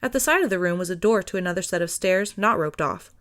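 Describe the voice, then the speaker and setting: A woman's voice: on the low side, animated, fast talker, fairly bright tone. A woman reading scripted fiction as an audiobook narrator.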